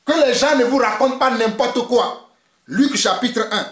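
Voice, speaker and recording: A man's voice: very high-pitched, a little slow, fairly bright tone, echoey room. A man from Togo whose first language is Mina, preaching an emphatic, fiery sermon and repeating his points. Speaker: pastor.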